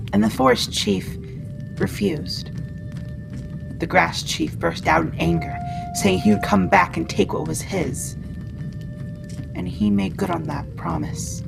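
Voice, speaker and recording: Casting: actress as a caring female wendigo for ASMR roleplay, heavy vocal fry, gentle delivery, clear music bed. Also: mid-range voice.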